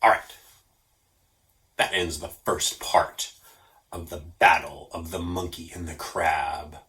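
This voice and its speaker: A man reading a story aloud as an expressive narrator. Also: deep voice, takes it slowly, low-quality recording. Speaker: storyteller for a library story program.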